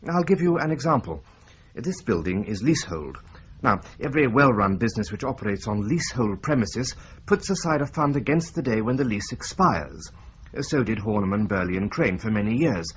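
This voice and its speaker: An actor playing a precise, wry accountant who explains figures step by step in a radio drama. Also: male voice, fairly low pitch, very animated, moderate pace. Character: dry precise male accountant. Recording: dull lo-fi audio with faint hiss.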